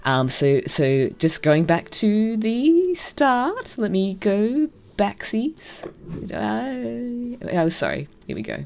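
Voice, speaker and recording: A woman's voice: medium pitch, big pitch swings, slow, gravelly, a little mellow. A woman giving casual, enthusiastic first-impressions commentary on a game as she plays. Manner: gushing, enthusiastic. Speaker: game streamer reviewing a visual novel.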